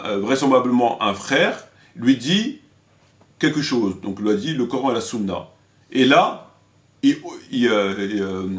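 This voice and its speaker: A man giving an informal spoken critique to viewers, halting and repetitive as he searches for words, with an indignant, emphatic edge. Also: mid-range voice. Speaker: religious commentator and video presenter.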